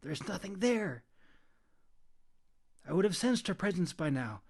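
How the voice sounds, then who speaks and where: A man's voice: high-pitched, sing-song, a little slow, vocal fry, darkish tone, pitched above the performer's own voice, full character voice. A man voicing a mouthy, impatient, centuries-old female shapeshifter in a fantasy audiobook, scolding and hissing curtly.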